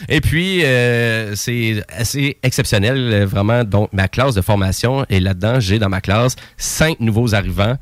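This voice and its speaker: A man's voice: fairly low pitch, measured pace, few pauses, no room sound. A young man with a Québécois accent hosting a live radio show in a station studio, chatty and joking, with lots of fillers. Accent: Québécois French.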